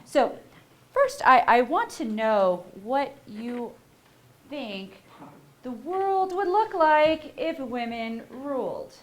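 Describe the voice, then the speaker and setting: A woman giving a university lecture, animated and asking the audience questions as she goes. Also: high-pitched, slow. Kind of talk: public lecture. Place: lecture hall.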